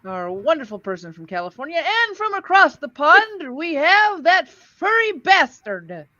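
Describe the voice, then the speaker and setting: A woman's voice: high-pitched, sing-song, unhurried, pushed and bright, dry recording, muffled recording. A woman hosting a casual live stream, chatting in a jokey, informal way. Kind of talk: casual chat, reacting to old radio shows.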